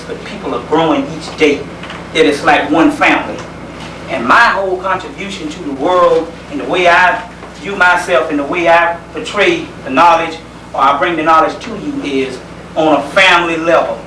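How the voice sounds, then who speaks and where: A man with a Southern US accent gives an informal talk in a high register for a man. He is conversational and folksy, often pausing and circling back over a phrase. The recording is roomy and distant from the microphone. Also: a bit bright. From South Carolina, United States. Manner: folksy, conversational, hesitant pauses with repeated phrases. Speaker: lecturer. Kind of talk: informal community lecture. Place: indoor lecture gathering. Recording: roomy, distant microphone, faint background noise.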